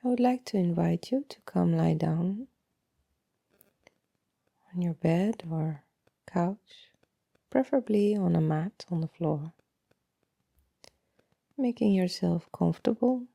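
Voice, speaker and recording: A woman leading a guided body scan meditation in a soft, breathy voice, calm and unhurried, often trailing into a near-whisper with long pauses. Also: on the low side, dry recording, clean studio sound.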